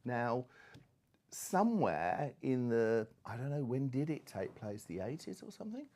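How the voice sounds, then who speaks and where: A man's voice: mid-range voice, big pitch swings, unhurried, warm tone, slight background noise. A middle-aged man speaking as an expert panellist in a conversational, discursive way, with frequent asides.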